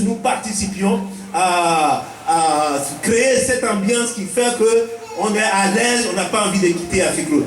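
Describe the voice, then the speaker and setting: A man with an Ivorian accent giving a preaching-style welcome speech as a village chief, teaching point by point and repeating key phrases. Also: high-pitched. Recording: echoey, picked up from a distance.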